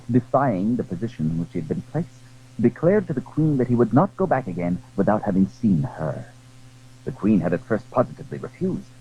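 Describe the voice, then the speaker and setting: A man's voice: lowish voice, slight background noise. A man narrating a literary drama in a formal, scripted storytelling style. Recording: very muffled, lo-fi old recording.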